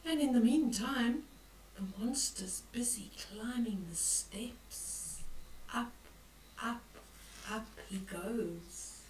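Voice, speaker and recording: An older woman reading a picture book aloud to children, expressive and dramatic, with hushed whispers and exclamations as she comments on the pictures. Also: on the high side, drawn-out words, a little hiss or hum. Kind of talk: bedtime read-aloud with asides on the pictures. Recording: roomy echo, distant from the mic.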